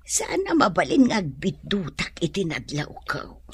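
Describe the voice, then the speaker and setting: An actress playing an older wife and mother in a radio drama, husky, unhurried and suspiciously probing. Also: fairly low pitch, huge pitch swings, heavily acted.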